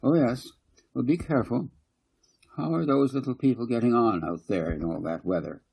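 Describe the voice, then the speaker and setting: A man voicing a kindly, indulgent, gently fussing mother in a storybook. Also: medium pitch, moderate pace, vocal fry, husky, pitched above the performer's own voice, poor audio. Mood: caring.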